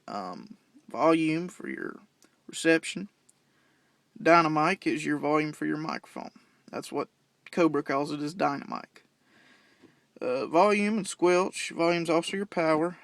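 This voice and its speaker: A young man giving a casual, plain-spoken, unscripted how-to walkthrough, explaining things step by step. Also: fairly high pitch. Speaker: CB radio hobbyist giving a demonstration. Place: in and around parked vehicles at home.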